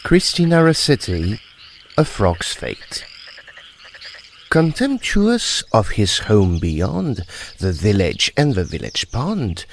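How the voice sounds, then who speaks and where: A man giving a theatrical, measured recitation of verse. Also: on the low side, huge pitch swings, gravelly, slight background noise. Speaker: poetry reader. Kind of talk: dramatic poetry recitation.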